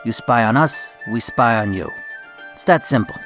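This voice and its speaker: A man's voice: medium pitch, animated, moderate pace, fairly bright tone, faint music behind, natural voice. A man playing a composed, menacing mob boss in a radio drama, talking with cool condescension. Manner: condescending, coolly controlled.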